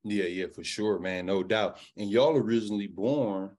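A man's voice: lowish voice, moderate pace, darkish tone. A man in his thirties hosting a podcast over a video call, teasing his guest in playful banter. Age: 30s. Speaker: podcast host. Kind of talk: casual interview chat. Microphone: video call webcam.

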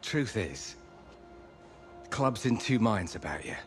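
A man's voice: on the low side, sing-song, takes it slowly, faint music behind. A man acting a wry, pushy middle-aged football agent in a video game, talking persuasively. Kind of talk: naturalistic video game cutscene dialogue.

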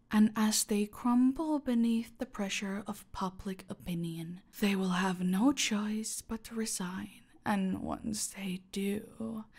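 Woman playing a slow, creaky-voiced female crime boss. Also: medium pitch, heavily acted. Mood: commanding, scheming. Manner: deliberate, haughty, breathy drops into near-whispers. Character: female cartel boss, menacing, smug, calculating seductive villain. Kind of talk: dramatic audio roleplay monologue addressed to a captive.

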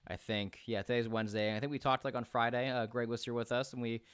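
A young man hosting a podcast over a video call, talking quickly with many fillers into a headset and studio mic in a small room at home. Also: medium pitch, flat delivery, fairly bright tone, clear, resonant tone, no room sound. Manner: fast, rambling, many fillers. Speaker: podcast host. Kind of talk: casual video call chat. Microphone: headset with large studio mic.

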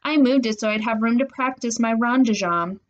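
A woman's voice: on the high side, normal speed, lo-fi sound, pitched above the performer's own voice, lightly acted. A woman voicing an offhand, defensive young sister in a storybook. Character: matter-of-fact sister, young girl, ballet-practising, defensive.

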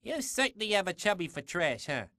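A man's voice: high voice, sing-song, fairly quick, bright, forceful tone, no room sound, studio quality, full character voice. A man playing a chatty, eager little undead sidekick in a game cutscene, chirpy and glib with constant jokes. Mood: wisecracking. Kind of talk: video game cutscene acting.

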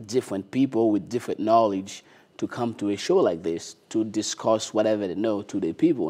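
A man's voice: on the low side, mellow. A young man whose first language is not English, speaking earnestly and eagerly as a guest in a studio interview on a lapel mic. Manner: earnest, eager, many short affirmations. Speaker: guest, city councilor. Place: TV studio with dark curtains.

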